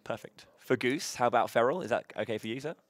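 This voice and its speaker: Male host, mid-range pitch, loud and chatty, upbeat live-event patter. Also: fast, no echo. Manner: upbeat, chatty, many fillers and restarts. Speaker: charity marathon host.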